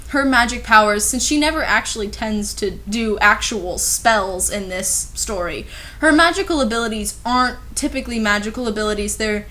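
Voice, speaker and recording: A woman's voice: fairly high pitch, a bit bright. A woman doing a comedic dramatic reading with sarcastic, exasperated asides. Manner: mocking, exasperated. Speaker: host of a comedic fan fiction commentary.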